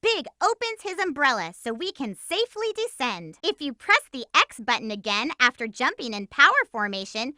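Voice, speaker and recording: A woman's voice: high voice, sing-song, moderate pace, hardly any pauses, no room sound, clean studio sound, exaggerated voice. A woman voicing a perky, feisty, lovestruck young hedgehog girl in a video game, with a girlish, sassy, emphatic delivery. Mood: peppy instructing. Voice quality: girlish, bright. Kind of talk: animated video game character lines.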